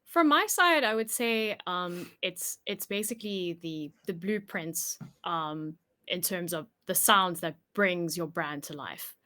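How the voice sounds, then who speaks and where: A woman's voice: lowish voice, lively intonation, slow pace, bright, forceful tone. A woman working as a podcast producer, answering interview questions in a thoughtful, explanatory way.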